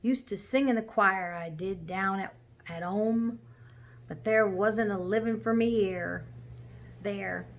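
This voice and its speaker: Woman putting on a lively Cockney cabman, earnest and chatty. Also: medium pitch, slow. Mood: wistful. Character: chatty working-class London cabby, man, earnest and good-natured.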